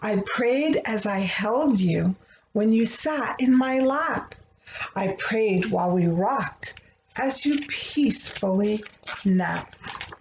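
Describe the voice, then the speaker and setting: A woman reading a rhyming children's picture book aloud, with expressive, deliberate phrasing meant for young listeners. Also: mid-range voice, dull audio. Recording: roomy echo, faint background noise.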